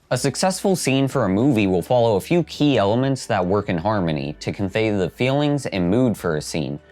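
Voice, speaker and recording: A man with a fairly low voice hosts a video essay. He reads scripted narration over background music.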